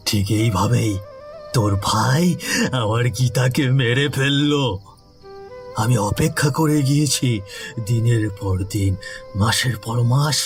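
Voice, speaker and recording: Man acting a slow, anguished vengeful ghoul. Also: mid-range voice, faint music behind. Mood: bitter, vengeful. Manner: anguished, drawn-out, dramatic declarations. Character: vengeful tormented male ghoul of a heartbroken lover. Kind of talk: horror cartoon voice acting.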